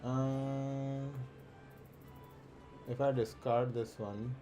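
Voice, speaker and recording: A man's voice: mid-range voice, level tone, drawn-out words, dark tone. A man streaming a game, thinking aloud in a hesitant, muttering way as he plays. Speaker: live streamer. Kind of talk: casual gameplay commentary. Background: faint game music.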